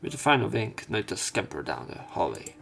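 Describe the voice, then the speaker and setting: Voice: mid-range voice, sing-song, a little fast, creaky, over music. A streamer reading visual novel text aloud with casual commentary, restarting misread lines and swearing now and then.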